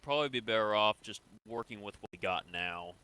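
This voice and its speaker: A man playing a calm, reassuring interrogator in improvised sci-fi game roleplay, casual and coaxing. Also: lowish voice, fairly quick, clean tone. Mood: practical.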